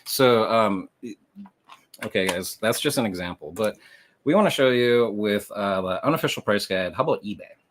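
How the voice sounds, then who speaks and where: Low-voiced man hosting a casual livestream. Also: lively intonation, normal speed, a little hiss or hum. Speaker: livestream host and reseller.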